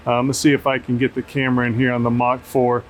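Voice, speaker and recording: A man's voice: medium pitch, quick pace. A man hosting a casual field test, talking off the cuff outdoors into a camera microphone. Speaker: drone tester and video host. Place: outdoors under an open shelter at an airfield. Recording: noisy outdoor camera audio, steady ambient hum.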